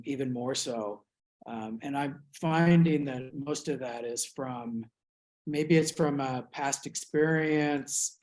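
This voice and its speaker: Us guy talking about his art, thoughtful, lots of ums and restarts, average pitch. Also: a little mellow, low-quality recording. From United States. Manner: thoughtful, hesitant, many fillers and restarts. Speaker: artist. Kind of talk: reflective interview monologue.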